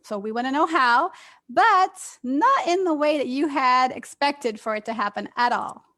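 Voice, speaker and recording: A woman's voice: high voice, expressive, normal speed, sharp tone. A woman co-hosting an interview, encouraging her guest and using some fillers. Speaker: interview co-host.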